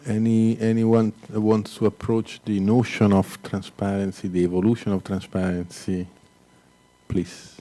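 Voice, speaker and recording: A man chairs a panel and hands the floor to the audience, courteous and a little hesitant. His voice is low and dark. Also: completely flat delivery, a little slow. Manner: hesitant and courteous, with many fillers. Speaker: session moderator.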